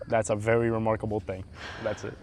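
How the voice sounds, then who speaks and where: A man's voice: on the low side, level tone, fairly quick, gravelly, darkish tone. A young man from Brazil, a podcast guest, chatting casually and talking at length with plenty of filler words. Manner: talkative, rambling, lots of "like" fillers. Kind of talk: casual chat.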